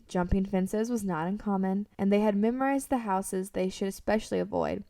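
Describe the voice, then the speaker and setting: Mellow woman narrator, dry recording. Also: medium pitch, gravelly, no room sound, far from the mic. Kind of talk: scripted story narration for a radio drama.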